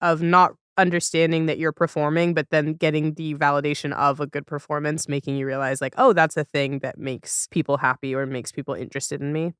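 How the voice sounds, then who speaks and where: A woman with a smooth, clear voice hosts a relaxed conversational interview. She reflects aloud and rephrases as she goes. The recording is dry, with hardly any room sound. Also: sits low, a little fast, hardly any pauses, dry recording. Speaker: podcast host.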